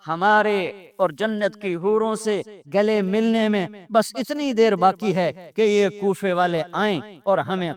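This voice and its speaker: High-pitched Pakistani male preacher, clear voice. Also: a bit bright. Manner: emphatic, repeating phrases for effect. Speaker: Islamic preacher. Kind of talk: impassioned Urdu religious sermon with Arabic recitation.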